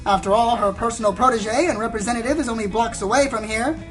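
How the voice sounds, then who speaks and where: A woman's voice: fairly high pitch, quick pace, natural voice, rough recording. A woman playing a probing, smug female pony news reporter in a radio play, with a dramatic, insinuating delivery. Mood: smug, challenging. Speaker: interviewer. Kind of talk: radio play acting, theatrical interview. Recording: music behind the voice.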